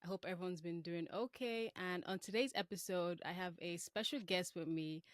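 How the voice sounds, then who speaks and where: A woman's voice: fairly low pitch, moderate pace, no room sound, clean studio sound. A woman in her twenties hosting a podcast in a casual, informal way. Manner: informal, frequent "like". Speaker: podcast host.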